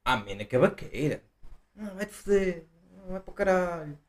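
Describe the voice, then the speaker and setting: A man's voice: high-pitched, sing-song, fairly quick. A young man with a central Portuguese accent, chatting casually as a podcast co-host, telling stories loosely and swearing freely. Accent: central Portuguese, Viseu. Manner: loose storytelling, acts out quoted people, swears freely. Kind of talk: casual chat.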